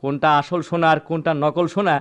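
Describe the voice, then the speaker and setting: An actor playing a righteous, self-assured gold dealer in a radio drama, deliberate and assertive, with a rural Bangladeshi accent. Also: male voice, fairly high pitch, steady tone, a bit bright, smooth, natural voice. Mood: confident, reproachful. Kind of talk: Bengali radio play acting.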